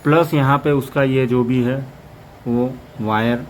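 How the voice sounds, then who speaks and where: A man talks through a gadget demo in a flat, even tone, rambling from point to point. His voice is mid-pitched and sits over faint background ambience. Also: slow pace, slight background noise, poor audio. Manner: rambling, repeats "plus" between points. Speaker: motorcycle vlogger. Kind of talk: casual product demo.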